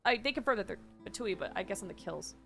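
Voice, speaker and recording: A woman's voice: mid-range voice, expressive, quick pace, creaky, fairly bright tone, faint music behind. A woman game streamer giving casual, exclamatory live reaction commentary, swearing freely.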